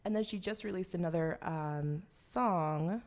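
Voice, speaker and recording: A woman's voice: lowish voice, unhurried, darkish tone. A young American woman chatting casually on air as a radio host.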